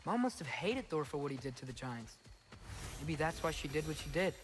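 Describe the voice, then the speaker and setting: A man's voice: fairly high pitch, lively intonation, brisk, close to an everyday voice. An actor playing an earnest, thoughtful teenage boy in a video game, speaking in a youthful way. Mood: earnest, searching. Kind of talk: video game drama acting. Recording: music behind the voice.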